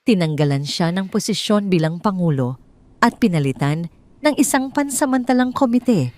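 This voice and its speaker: A woman with a Filipino accent narrating a scripted dramatic story in a measured, solemn storytelling manner. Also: lowish voice, lively intonation, a little mellow, no echo.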